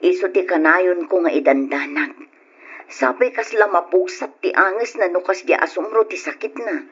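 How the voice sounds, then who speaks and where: Woman playing a husky, anxious mother. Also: fairly low pitch, moderate pace. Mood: worried, resigned. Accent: Ilocano. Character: anxious, tender mother of a sickly son. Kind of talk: old Ilocano radio drama acting. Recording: muffled old radio recording.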